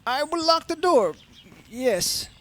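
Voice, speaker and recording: A man's voice: very high voice, big pitch swings, takes it slowly, a bit bright, a little hiss or hum, full character voice. A man playing a straight-talking, inquisitive insurance investigator in an old-time radio detective drama, in plain, clipped phrases. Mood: probing, casual.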